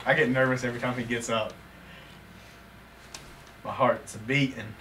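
A man's voice: medium pitch, moderate pace. A man in his thirties hosting an indoor game with casual, competitive, joking banter in a warehouse office. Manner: animated, excitable, joking. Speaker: host and player in an office golf challenge. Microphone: far camera across the room. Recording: distant camera mic, loud noise, clicks.

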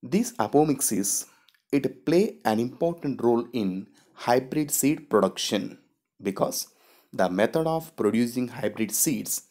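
A man's voice: mid-range voice, expressive, unhurried, darkish tone. A man teaching a biology lesson over slides, explaining each step and repeating key terms. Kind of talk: slide lecture.

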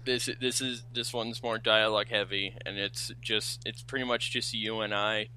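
A man's voice: lowish voice, flat delivery, moderate pace, sharp tone, soft background music. A man chatting casually and jokingly as a co-reader of a script.

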